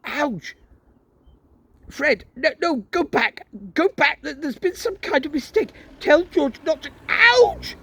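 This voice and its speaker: A man's voice: very high voice, sing-song, takes it slowly, bright, forceful tone, pitched above the performer's own voice, exaggerated voice. A man voicing a panicky teenage boy stuck in a chimney, yelping urgently. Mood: panicked.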